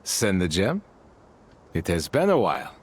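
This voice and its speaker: A man voicing a kind-hearted desert clan chief in a video game, gentle and deliberate, with folksy wording. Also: low voice, huge pitch swings, a little hiss or hum, full character voice. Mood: calm, firm.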